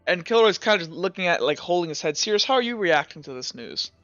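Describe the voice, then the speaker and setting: Guy game mastering, crisp bright voice, halting improvised narration, slightly dull mic, faint music behind. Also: high-pitched, lively intonation, no room sound. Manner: halting, repetitive storytelling.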